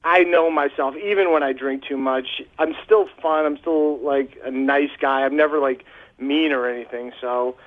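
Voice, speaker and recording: A man's voice: mid-range voice, steady tone, normal speed. A man phoning in to a radio show, telling his story casually over a phone line.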